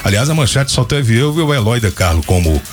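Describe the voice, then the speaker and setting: A man's voice: mid-range voice, sing-song, brisk, music in the background. Chatty middle-aged male radio host. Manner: chatty, rambling, trails off into asides. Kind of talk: casual on-air chat and storytelling.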